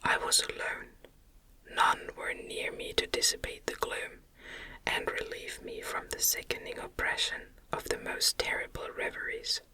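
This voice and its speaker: A narrator reading a classic novel in a soft, breathy ASMR whisper, with a soothing and deliberate cadence. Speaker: audiobook narrator.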